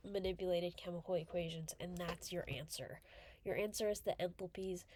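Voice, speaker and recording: A woman's voice: fairly low pitch, warm tone. A young woman with a congested voice from a cold, casually talking through a chemistry problem as a student tutor, chatty and full of fillers. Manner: chatty, filler-heavy, self-correcting. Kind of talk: casual chemistry walkthrough video.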